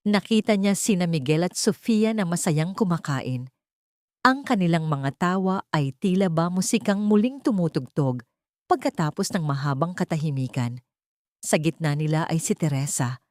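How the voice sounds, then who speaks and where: A woman narrating a dramatic story as an audiobook, with measured, sentimental delivery in short phrases. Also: sits low, a little mellow, dry recording, studio quality.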